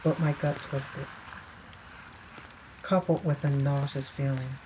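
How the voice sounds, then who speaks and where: A woman narrating first-person audio fiction in a dramatic, deliberate storytelling manner. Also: rumbling low, fairly even intonation, warm tone, slight background noise, rough recording.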